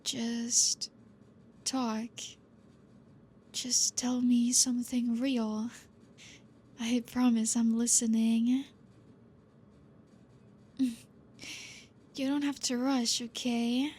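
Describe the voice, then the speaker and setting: A woman voicing a gentle, possessive female vampire professor in an ASMR roleplay, talking softly and coaxingly and slipping into whispers. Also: fairly high pitch, flat delivery, slight background noise. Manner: soft, slow and coaxing, drifting into whispers.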